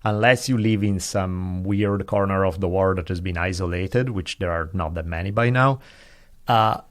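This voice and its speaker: Low-voiced man musing on history. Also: normal speed. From Italy. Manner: thoughtful, digressive, hedging explanations. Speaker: podcast guest, historian. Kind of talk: casual conversation.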